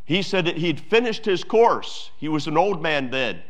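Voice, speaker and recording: A man's voice: on the high side, very animated, moderate pace. A pastor preaching a sermon to his church, emphatic, with repeated phrases and many fillers.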